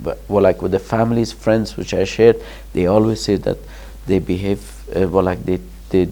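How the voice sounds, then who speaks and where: A man from Afghanistan answering interview questions as a guest, pausing and restarting often. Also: low voice, fairly even intonation, dark tone. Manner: hesitant, many restarts and fillers, laughs in places. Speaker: guest, charity founder.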